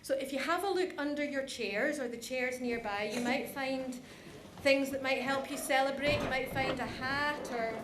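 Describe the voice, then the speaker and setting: Female presenter, fairly high voice, informal and chatty, reverberant conference hall. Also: moderate pace. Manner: chatty, addresses the audience directly. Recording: echoey hall, some background noise.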